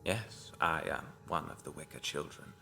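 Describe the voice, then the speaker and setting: An actor playing an apologetic, macabre cult tailor who stitches corpses, speaking haltingly in an audio drama. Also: male voice, very deep voice, sharp tone, faint music behind, heavily acted. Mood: bitter, solemn.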